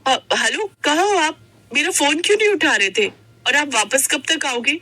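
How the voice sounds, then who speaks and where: A woman's voice: sits high, expressive, normal speed, sharp tone, dry recording, voice pushed up. A woman voicing a young newlywed wife in a story, hurt and polite but turning defiant, speaking with dramatic expression. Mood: surprised, anxious.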